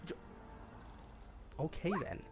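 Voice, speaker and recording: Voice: slow pace, soft background music. A game streamer giving casual livestream commentary, full of wry, quippy asides.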